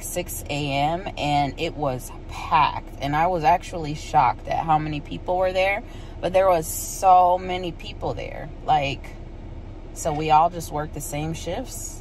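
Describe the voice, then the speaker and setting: A young woman vlogs casually into her phone at arm's length from the driver's seat. Her voice sits low, and her talk is loose and relaxed, full of "um" and "like," with a lilt. A faint car hum sits underneath. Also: low-quality recording. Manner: chatty, relaxed, lots of fillers. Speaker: vlogger. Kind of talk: casual vlog talk to camera. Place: inside a parked car. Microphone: phone camera at arm's length.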